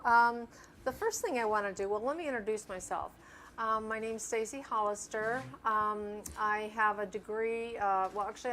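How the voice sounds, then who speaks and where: Older woman guest, hesitant, mid-pitched. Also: a bit bright, a little hiss or hum. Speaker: guest, store staff member. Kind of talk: informal introduction and presentation.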